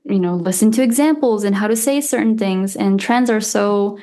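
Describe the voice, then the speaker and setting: A young woman in her twenties whose first language is Japanese talks as a podcast guest. She chats loosely, with lots of "like" and "um" and easy little laughs, at a mid pitch for a woman. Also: moderate pace, warm tone. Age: 20s. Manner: chatty, lots of "like" and "um", laughs lightly. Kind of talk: casual interview chat.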